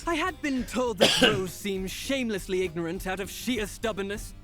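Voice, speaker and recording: An actor playing a pompous, condescending self-styled scholar of golems in a video game, speaking theatrically. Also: male voice, high voice, moderate pace, sharp tone, faint music behind. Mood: exasperated, pompous. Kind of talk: video game character acting.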